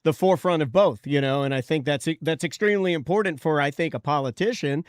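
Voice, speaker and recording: A man's voice: on the high side, dry recording, clean studio sound. Fifty-year-old man co-hosting, chatty. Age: 50s. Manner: quick agreeing interjections. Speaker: podcast co-host. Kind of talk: casual podcast chat.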